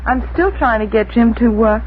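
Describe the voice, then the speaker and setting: A man's voice: extremely high pitch, normal speed. A man putting questions conversationally as an interviewer on a radio talk show. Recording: muffled 1960s radio recording, faint noise.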